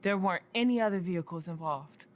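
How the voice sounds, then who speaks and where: An actress playing a practical, supportive young sister in a screen drama, with a gently reasoning manner. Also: female voice, fairly low pitch, fast talker, close to an everyday voice. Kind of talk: naturalistic screen drama acting.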